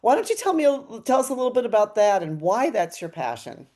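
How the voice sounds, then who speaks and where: A woman's voice: a little high, very animated, a little fast, warm tone. A woman hosting a casual interview, chatty and upbeat, who laughs often. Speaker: interviewer, host.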